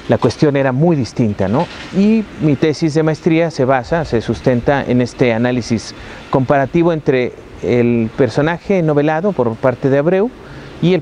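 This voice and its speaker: A man from Mexico City with a Mexican accent, a university professor talking reflectively about his career, pausing often to find his words. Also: mid-range voice, mellow, slight background noise. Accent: Mexican Spanish. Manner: reflective, frequent hesitations and restarts. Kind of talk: interview, recounting his career.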